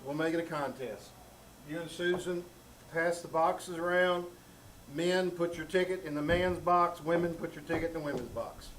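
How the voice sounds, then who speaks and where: A man's voice: fairly high pitch, steady tone, normal speed, a little hiss or hum, close to an everyday voice, low-quality recording. A man acting an exasperated referee of a squabble in a live comedic stage skit at a small theater. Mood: organizing. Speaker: troupe member running a live stage show. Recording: live theater with loud audience.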